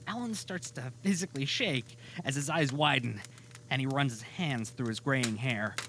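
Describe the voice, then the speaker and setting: A man narrating a scripted audio drama as a storyteller, deliberate and given to dramatic pauses. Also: mid-range voice, background noise.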